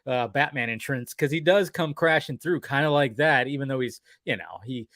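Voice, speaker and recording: A man co-hosting a casual chat, animatedly recapping a cartoon episode with quick acted-out quotes and casual swearing. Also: medium pitch, lively intonation, a bit bright, no echo, clean studio sound. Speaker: podcast co-host.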